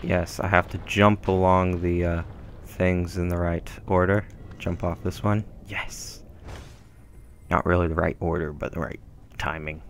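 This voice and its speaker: A man's voice: deep voice, steady tone, measured pace, a little hiss or hum. A young man giving casual, rambling commentary over a video game through a headset microphone, full of ums and asides. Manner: rambling, filler-heavy, self-deprecating. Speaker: gameplay commentator. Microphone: gaming headset mic.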